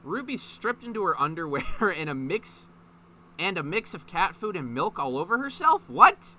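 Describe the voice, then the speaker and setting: A man's voice: high voice, very animated, normal speed, pushed and bright, slight background noise. A man reading a story aloud in a mocking, informal way, breaking off to add sweary, incredulous commentary. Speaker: online video host reading fan fiction aloud.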